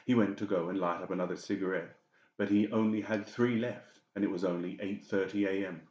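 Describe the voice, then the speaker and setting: A man's voice: low voice, fairly even intonation, a little fast, a little mellow. A man reading scripted fiction as an audiobook narrator. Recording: dull, echoey room recording.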